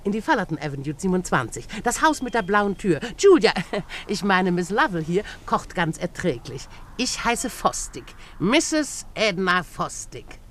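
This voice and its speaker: A woman's voice: lowish voice, big pitch swings, measured pace, a bit bright. An actress playing a rich, sly, domineering older woman in a German radio play, with an imperious, theatrical manner. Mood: coquettish, flirtatious. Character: domineering, sly, rich old widowed aunt.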